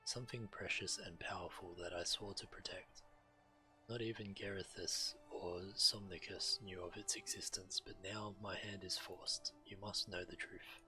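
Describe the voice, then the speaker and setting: A man's voice: deep voice, steady tone, moderate pace, pushed and bright, rough recording. An actor playing a grave, guilt-ridden male guardian of a sacred grove in a fantasy game, speaking formally and solemnly. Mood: grave, remorseful. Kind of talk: fantasy video game voice acting. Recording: music behind the voice.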